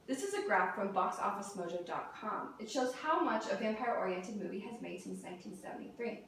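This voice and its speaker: A woman's voice: medium pitch, animated, brisk, fairly bright tone. A young adult woman delivering a prepared persuasive speech as a student, reading out structured points and sometimes stumbling over words. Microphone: distant camera or room mic. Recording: very echoey room, distant mic, faint noise.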